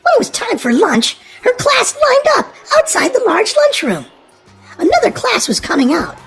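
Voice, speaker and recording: A woman reading a children's storybook aloud in an expressive, animated way. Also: very high-pitched, normal speed, faint music behind. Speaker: storybook narrator.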